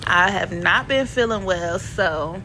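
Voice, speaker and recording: A woman's voice: on the low side, takes it slowly, bright, forceful tone, low-quality recording. A woman narrates her shopping vlog in a casual, playful, slangy way. Her voice sounds stuffy and sniffly from a cold. A faint background hiss sits under the home recording. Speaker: vlogger.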